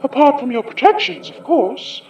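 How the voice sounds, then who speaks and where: A woman's voice: high voice, big pitch swings, moderate pace, vocal fry, a little mellow, echoey room, distant mic, dull audio, exaggerated voice. A woman playing a possessing spirit in an audio drama, a polished and menacing sorceress who taunts with condescending politeness.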